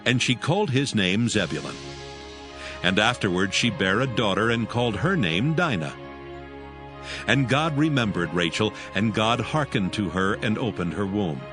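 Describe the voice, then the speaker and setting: A man narrating scripture in a measured, solemn way. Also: fairly low pitch, animated. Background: background music.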